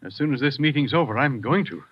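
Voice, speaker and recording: A man's voice: mid-range voice, lively intonation, fast, slightly rough. An actor playing a nervous, worried businessman in an old radio drama. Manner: anxious, worried. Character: nervous wealthy American businessman. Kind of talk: 1950s radio drama acting. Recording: dull old radio recording.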